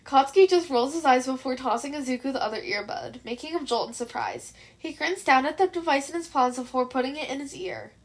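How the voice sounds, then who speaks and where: A woman with a high voice narrates fan fiction. Her reading is expressive, in the manner of an audio story told by a hobby reader.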